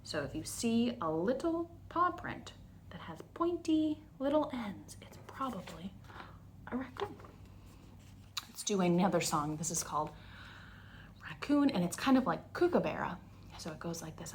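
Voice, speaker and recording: A woman's voice: mid-range voice, big pitch swings, a little slow, pauses often, darkish tone, a little hiss or hum. A woman hosting a story time for young children, explaining things in simple, instructional phrases.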